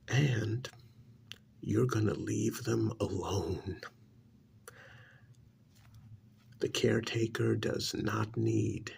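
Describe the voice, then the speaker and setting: A man playing a bitter, contemptuous alien creature posing as an uncle in an ASMR roleplay, with stern, emphatic, gravelly delivery that turns breathy at times. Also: on the low side, a little hiss or hum, full character voice. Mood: stern, commanding.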